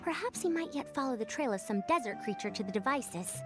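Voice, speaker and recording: A woman's voice: fairly high pitch, animated, brisk, music in the background, lightly acted. A woman voicing a proud, old-fashioned senior scholar in a video game, with haughty, formal phrasing. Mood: conspiratorial. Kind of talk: animated video game voice acting.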